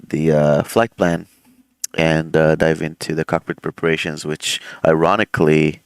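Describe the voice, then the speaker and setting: Low gravelly man, laid-back streamer. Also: normal speed, dry recording. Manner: relaxed, rambling, many fillers. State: throat slightly swollen. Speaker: flight sim streamer, airline pilot. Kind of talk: casual live-stream commentary while doing checks.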